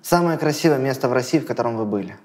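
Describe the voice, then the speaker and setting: A man in his thirties hosting an interview, asking quick questions in a calm, measured way on a lapel mic in a studio. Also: mid-range voice, big-room sound. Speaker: host, interviewer. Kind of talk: rapid-fire interview questions. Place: studio room with plants.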